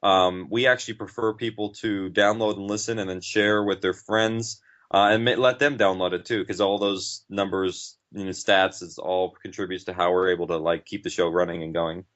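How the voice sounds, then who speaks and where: A man's voice: deep voice, fairly even intonation, moderate pace, a bit bright. A man talking casually as an interview guest, in an informal, rambling and enthusiastic way. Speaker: interview guest, podcast writer and director. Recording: dull, lo-fi audio.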